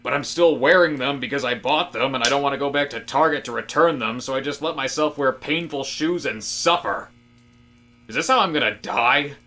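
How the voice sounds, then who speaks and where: A man acting an earnest office guy in a comedic audio drama, with a bright, forceful voice at a middling pitch. He philosophizes grandly and slides into exasperated ranting. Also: a little fast, natural voice. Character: earnest male office worker philosophizing grandly about fire.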